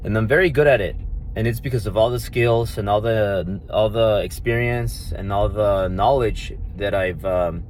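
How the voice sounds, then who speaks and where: A man's voice: fairly low pitch, moderate pace, darkish tone, a little hiss or hum. Brash man with a Mexican Spanish accent. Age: 30s. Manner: brash, swaggering, profane, repeats himself. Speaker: vlogger and entrepreneur. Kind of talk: casual boastful vlog.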